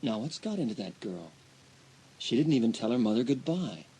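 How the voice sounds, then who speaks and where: A mild, put-upon middle-aged father in an audiobook drama. His voice is husky and moves up and down a lot as he gives in. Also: medium pitch, big pitch swings, moderate pace, warm tone, full character voice, rough recording. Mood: resigned.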